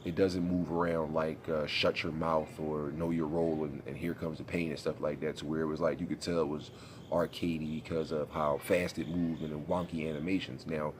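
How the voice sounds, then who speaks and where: Casting: congested young man, very low voice, slangy gaming vlogger, faint background hum. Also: little pitch movement, normal speed. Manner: laid-back, rambling, slangy. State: congested, sniffly. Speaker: gaming YouTuber. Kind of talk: casual vlog commentary.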